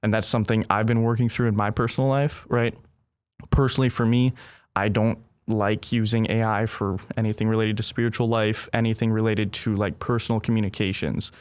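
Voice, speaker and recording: A young man who grew up in Brazil talks through his answers in an earnest, thoughtful way, often checking in with a quick "right?". His voice sits a little low and sounds smooth and resonant, and the recording is close and dry. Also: flat delivery, no room sound. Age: young adult. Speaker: guest. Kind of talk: casual conversational interview.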